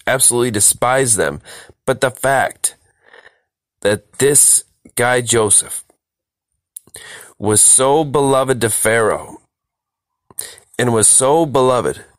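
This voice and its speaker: A man's voice: on the low side, dry recording. A man leading a verse-by-verse Bible study, reading scripture and explaining it emphatically, repeating phrases for stress. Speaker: Bible teacher, host.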